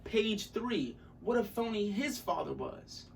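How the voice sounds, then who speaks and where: A young man acting an intense, articulate character in a theatrical monologue, with emphatic delivery and deliberate pauses. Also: sits high, big pitch swings, creaky, pitched above the performer's own voice, full character voice. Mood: incredulous, building. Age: young adult. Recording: distant mic, audible room noise.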